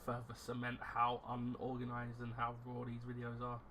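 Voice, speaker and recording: A plain-voiced man talks at an everyday pitch in a loose, rambling way, often restarting his sentences. He sounds self-deprecating and unscripted, picked up by a camera mic at a small desk with faint noise in the background. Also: completely flat delivery, normal speed, bright, forceful tone. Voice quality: plain, unremarkable voice. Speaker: YouTuber reviewing ration packs. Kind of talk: casual unscripted vlog chat.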